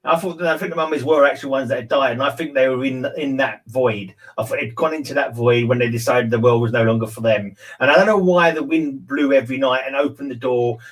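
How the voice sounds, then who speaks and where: A man's voice: medium pitch, fast talker, hardly any pauses, poor audio. Man rambling through a casual book discussion. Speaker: discussion panelist.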